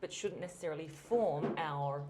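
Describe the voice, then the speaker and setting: A woman from Australia with an Australian accent, hosting an interview and telling anecdotes in a chatty way with quick restarts. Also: low-pitched, background noise. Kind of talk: conversational interview.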